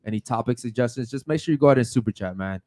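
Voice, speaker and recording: A young man hosting a live podcast, loose and hyped, giving shout-outs with fillers and casual swearing. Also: mid-range voice, very fast, no echo. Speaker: podcast host. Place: podcast studio with a panel of guests.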